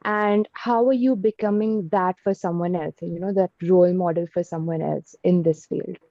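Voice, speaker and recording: A woman's voice: fairly low pitch, fairly even intonation, normal speed, no echo. A woman co-hosting a podcast, asking long, rambling questions full of fillers. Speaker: podcast co-host. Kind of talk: interview questions. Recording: dull dry lo-fi sound.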